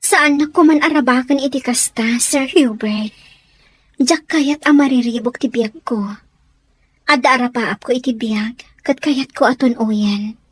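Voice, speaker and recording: A woman's voice: sits high, unhurried, slightly rough, soft background music. A woman playing a humble, earnest young house servant in an Ilocano radio drama, with an Ilocano accent. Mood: hesitant, pleading. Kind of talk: Filipino radio drama acting.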